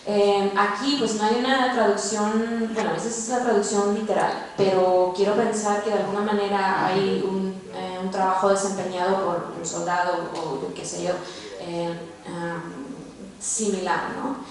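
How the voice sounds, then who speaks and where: A woman's voice: mid-range voice, little pitch movement. Echoey, distant young Mexican woman speaking publicly. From born in Mexico, grew up in Los Angeles, United States. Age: 20s. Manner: halting, informal, hesitant phrasing with repeated tag questions. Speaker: guest speaker, activist and veteran. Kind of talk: personal testimony in a public talk. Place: large university lecture hall, indoors. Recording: echoey hall, distant mic, faint noise.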